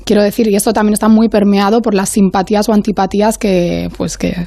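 A woman speaking as an academic guest on a panel, in a measured, analytical way, often searching for her next word. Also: mid-range voice, flat delivery, warm tone, dry recording.

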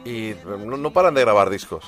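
A male radio host with a fairly low voice, presenting a music show. He chats casually, teases his co-host and talks about bands with enthusiasm. Music plays faintly behind him. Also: expressive, normal speed, a bit bright. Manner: teasing, chatty, enthusiastic.

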